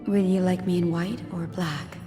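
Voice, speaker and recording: In a reverberant hall with music, a courtesan speaks in a lowish female voice , played by an actress. She is coy, seductive and eager to oblige, in video game cutscene style. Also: steady tone, moderate pace, lightly acted. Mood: obliging, coy. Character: coy, servile courtesan woman humoring a client.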